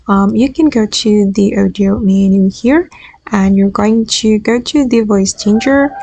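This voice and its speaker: Woman tutorial host with slightly gravelly fry. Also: mid-range voice, mellow, no room sound. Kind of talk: casual screen-recorded software tutorial narration.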